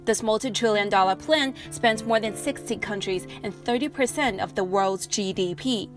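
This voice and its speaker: A woman reporter narrating a news feature in a clear, measured delivery and putting questions to interviewees. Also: mid-range voice. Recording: voice-over with music underneath.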